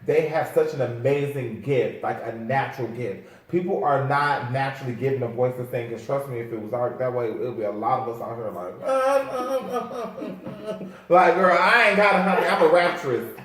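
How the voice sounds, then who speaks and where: A man's voice: medium pitch, expressive, moderate pace, a bit bright. An American man hosting a casual interview in an effusive, encouraging, sassy and slangy way. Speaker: host interviewing a guest; makeup artist. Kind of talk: casual, chatty interview talk. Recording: very echoey room, far from mic.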